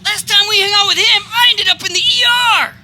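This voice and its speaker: A woman's voice: very high-pitched, quick pace, a loud crowd. A woman playing a crude, sarcastic, hot-tempered teenage boy in a live outdoor comedy radio play. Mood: angry. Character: foul-mouthed, hot-tempered, sneering rebellious teenage boy. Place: backyard, before a live audience. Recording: live outdoor recording, faint background noise.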